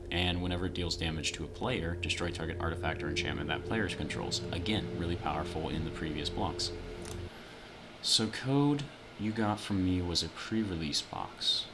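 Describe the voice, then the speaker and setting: Very low-voiced man streaming card games. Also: background noise. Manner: relaxed, thinks aloud with fillers. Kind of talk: casual deck-building chat. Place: home, late at night. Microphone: sensitive desk mic. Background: background music.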